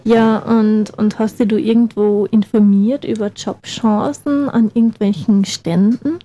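A woman's voice: medium pitch, steady tone, a little slow, mellow, soft background music. A young woman, hosting a campus radio show in casual, teasing chat. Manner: chatty, teasing, laughs often.

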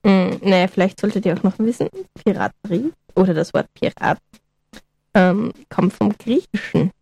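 A woman's voice: medium pitch, moderate pace, darkish tone, soft background music. Giggly woman with a cold. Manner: giggly, hesitant, clears throat often. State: has a cold, slightly hoarse. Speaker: podcast co-host. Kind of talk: casual chat.

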